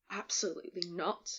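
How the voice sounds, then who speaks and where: A woman's voice: on the low side, close to an everyday voice. A woman playing a blunt, resolute character in a crisis, speaking dryly in an audio drama. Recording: dull, muffled sound.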